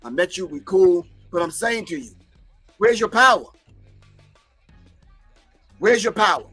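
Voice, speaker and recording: A man's voice: high-pitched, animated, measured pace, bright, forceful tone, slightly rough, soft background music. A man whose first language is not English, hosting a livestream and preaching his points emphatically while answering chat comments. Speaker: host.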